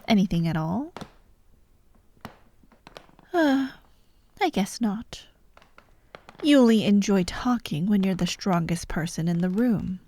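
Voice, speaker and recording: A woman's voice: mid-range voice, darkish tone. A woman playing a vengeful fired actress holding hostages in an ASMR audio drama, taunting and theatrical, often dropping to a whisper. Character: vengeful fired actress, sadistic hostage-taker directing victims.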